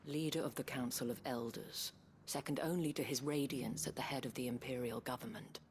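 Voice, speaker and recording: Very low-voiced, weary dame. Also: female voice, steady tone, a little mellow, a little hiss or hum. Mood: troubled. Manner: measured, eloquent, weary. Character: worldly, anxious dame looking after her wards. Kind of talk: scripted video game drama.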